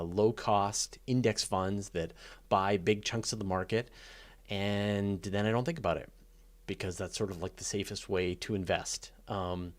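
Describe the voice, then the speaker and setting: A man talking casually and off the cuff as the host of a live question session, thinking aloud in a conversational, keen way. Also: fairly low pitch. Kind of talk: casual unscripted Q&A talk about space and astronomy.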